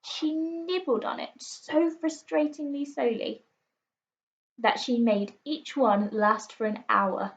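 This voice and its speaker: A woman reading a children's story aloud with expressive narration, like a teacher to pupils. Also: high voice, takes it slowly, highs cut off.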